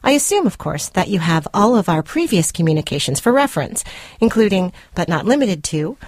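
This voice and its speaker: A woman's voice: on the low side, very animated, brisk, darkish tone, no room sound, clean studio sound. A woman playing a controlling girlfriend in a radio story, speaking with precise, coldly formal, condescending deliberation. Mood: officious, controlled. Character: controlling, coldly formal jilted girlfriend dictating a rebuttal letter. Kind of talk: dramatic short story reading for radio. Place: dry radio studio.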